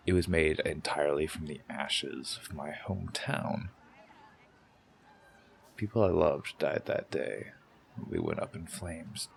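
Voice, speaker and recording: An actor playing a brooding, wounded rebel killer in an audio drama, persuasive and menacing, intense and often dropping toward a whisper. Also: male voice, sits low, gravelly, slight background noise, exaggerated voice.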